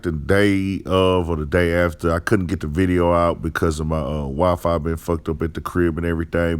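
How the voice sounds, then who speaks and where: Male host, low clean voice, slangy and conversational, talking off the cuff about entertainment. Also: smooth. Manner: slangy, conversational, repeats "you know". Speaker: host of an online commentary show. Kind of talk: casual off-the-cuff commentary.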